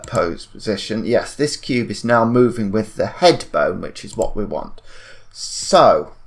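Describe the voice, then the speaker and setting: A man talks through a software tutorial at a very slow, halting pace. His pitch is middling but moves around in an animated way. He thinks aloud and corrects himself as he works. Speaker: tutorial presenter. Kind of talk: unscripted software tutorial narration.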